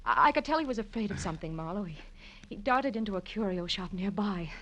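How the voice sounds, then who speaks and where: Melodramatic, scheming radio femme fatale. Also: female voice, mid-range voice, lively intonation, fast talker, a bit bright. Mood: anxious, confessing. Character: scheming young femme fatale, defensive, pleading and dramatic. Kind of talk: 1940s radio crime drama acting.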